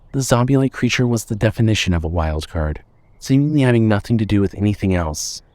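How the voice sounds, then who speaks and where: A man's voice: lowish voice, a little mellow, no echo. A man reading scripted fiction as the narrator of an audio story.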